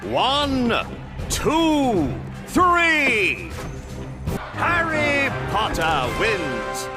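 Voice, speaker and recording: An actor playing a showy wizard duelling master in a video game, announcing in a drawn-out, theatrical way. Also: male voice, very high-pitched, huge pitch swings, heavily acted. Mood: grand, commanding. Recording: music behind the voice.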